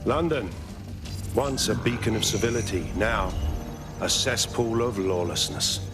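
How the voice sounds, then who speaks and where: An actor playing a cold authoritarian security chief giving a measured, grandiose presentation speech in a video game. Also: male voice, on the low side, expressive. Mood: cold, imposing. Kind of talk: scripted dramatic presentation speech. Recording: loud music behind.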